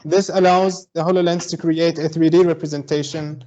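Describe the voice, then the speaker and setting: High-voiced Syrian man on a call. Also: flat delivery, mellow, dull audio. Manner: explains step by step, halting with fillers. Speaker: guest, mixed reality advocate. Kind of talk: explanatory online talk. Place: home in Berlin. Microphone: video call.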